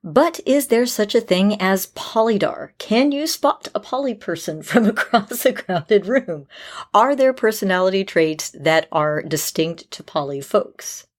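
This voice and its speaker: A woman's voice: mid-range voice, animated, measured pace. A woman podcast host talking conversationally in a casual co-hosting style.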